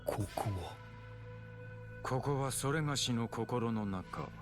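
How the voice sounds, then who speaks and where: A man playing a composed, enigmatic game character with calm, archaic, cryptic speech. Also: mid-range voice, moderate pace, music in the background, natural voice. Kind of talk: dramatic video game voice acting.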